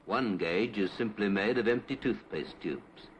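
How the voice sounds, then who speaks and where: Low-voiced British man narrating a 1950s documentary. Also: unhurried, a little hiss or hum. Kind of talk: 1950s British public information film narration, scripted. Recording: 1950s film soundtrack with music.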